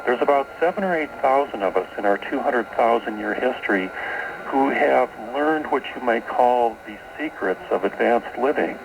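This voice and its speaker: Gravelly man on noisy shortwave radio. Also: medium pitch, animated, moderate pace. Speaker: guest author. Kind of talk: radio interview, explaining esoteric beliefs. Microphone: radio broadcast, taped off air.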